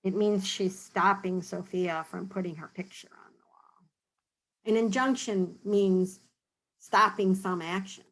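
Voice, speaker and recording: Woman storytime reader, gentle and teacherly, unhurried, mid-pitched. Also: low-quality recording. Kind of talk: children's picture book read-aloud with explanations.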